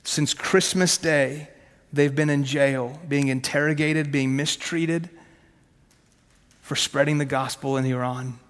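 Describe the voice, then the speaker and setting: Man, 30s, Farsi first language: brisk, chatty preacher, voice a touch high for a man, long hall echo. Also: steady tone, fairly bright tone. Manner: conversational and punchy, asks questions, jokes at himself. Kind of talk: sermon with personal testimony.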